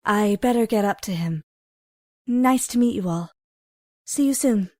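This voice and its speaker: Casting: woman as reserved teen schoolgirl, unhurried and hesitant, medium pitch, dry sound, audio drama. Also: warm tone, no room sound, close to an everyday voice. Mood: friendly. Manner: hesitant, questioning. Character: reserved teenage girl, new student.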